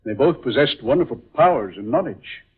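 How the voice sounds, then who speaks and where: Solemn dramatic radio drama man, theatrical and deliberate, muffled old recording, bit breathy. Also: medium pitch, expressive. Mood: solemn, musing. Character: solemn male film star, grave and dramatic.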